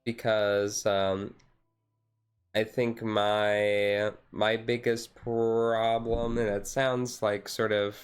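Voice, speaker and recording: A man with a fairly low, smooth voice talks casually on a chilled-out stream. His delivery stays quite flat in pitch. He rambles and hesitates, often doubling back over a phrase before moving on. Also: very slow. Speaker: vtuber streamer.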